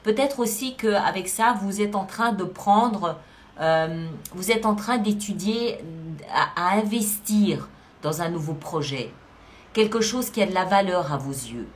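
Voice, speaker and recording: A woman with a medium-pitched voice gives a spoken tarot reading to her audience online. She explains each card emphatically, restating phrases to underline her meaning. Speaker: tarot reader and channel host.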